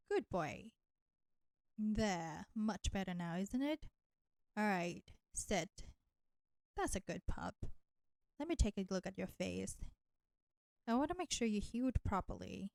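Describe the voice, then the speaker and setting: A woman's voice: mid-range voice, creaky, dry recording, studio quality. A woman voicing a flirty, nurturing vampire in an intimate roleplay audio drama, teasing and coaxing the listener. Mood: tender, coaxing. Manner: slow, teasing, coaxing, addressing listener with pet names. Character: flirty, teasing, nurturing female vampire, ancient enemy turned caretaker.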